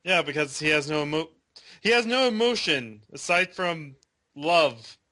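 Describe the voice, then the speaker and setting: Male panelist, high bright voice, combative and quick to object. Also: lively intonation. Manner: argumentative, quick to push back. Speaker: podcast co-host. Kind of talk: casual review discussion.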